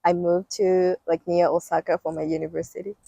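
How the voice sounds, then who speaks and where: Low, mellow Japanese-accented young woman. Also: little pitch movement, fairly quick, creaky, dry recording. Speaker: international student interviewee. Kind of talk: interview answers.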